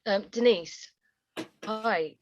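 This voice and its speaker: A woman's voice: mid-range voice, very slow, background noise. A woman chairing an online panel discussion, talking in a supportive, conversational way. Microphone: video call. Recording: dull, dry video call audio.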